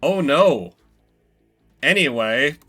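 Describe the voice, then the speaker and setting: A man streaming a video game, commenting excitedly and swearing freely. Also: fairly high pitch, very slow. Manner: profane, animated. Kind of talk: excited gaming livestream commentary. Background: game music.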